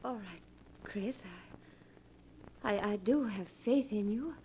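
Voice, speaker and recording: An actress playing a tender, anxious young fiancée with emotional delivery, in 1940s radio melodrama style. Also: female voice, medium pitch, takes it slowly, warm tone. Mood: worried. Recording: noisy vintage 1940s radio recording.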